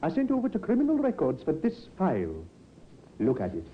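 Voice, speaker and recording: An actor playing a probing, impatient police inspector with a clipped, insistent manner in a 1950s radio drama. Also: male voice, a little high, wildly swooping pitch, fairly quick, slight background noise. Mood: impatient, pondering. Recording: dull vintage radio recording.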